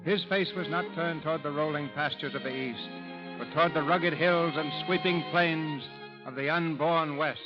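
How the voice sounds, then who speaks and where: A man's voice: fairly high pitch, steady tone, normal speed. A man narrating a 1930s radio program as its announcer in a formal, theatrical scripted style. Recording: muffled vintage 1930s radio broadcast. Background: music.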